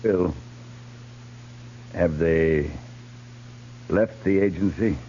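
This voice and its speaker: Cavalry captain: lowish American voice, measured, fair and firm; vintage radio western with muffled, noisy sound. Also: male voice, warm tone. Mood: sober. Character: steady, fair-minded male cavalry captain. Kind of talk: 1950s radio western acting. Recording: muffled 1950s radio recording with hum.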